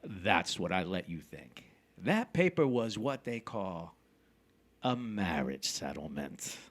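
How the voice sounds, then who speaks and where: A man playing a crafty, domineering husband in a crime drama, loud, wheedling and blustery. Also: low voice, big pitch swings, slow, exaggerated voice. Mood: smug, cold. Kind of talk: theatrical crime drama acting.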